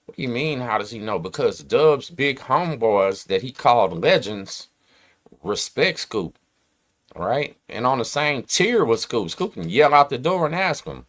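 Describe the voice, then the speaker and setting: A man's voice: mid-range voice, very animated, unhurried, fairly bright tone. A man, hosting his channel and ranting to camera in emphatic streetwise slang. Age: 40s. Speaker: host. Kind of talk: casual rant to camera.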